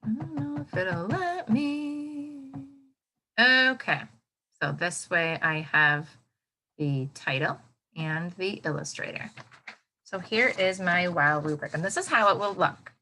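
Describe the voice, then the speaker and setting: A woman with a bright, clear-cut tone speaks as an elementary teacher to young children. Her pitch swings widely and she takes her time, leaving room for answers. She prompts with questions and encourages in an exaggerated, child-friendly way. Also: lively intonation, takes it slowly, a little hiss or hum, low-quality recording. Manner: exaggerated, child-directed expressiveness. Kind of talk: interactive read-aloud lesson for young children.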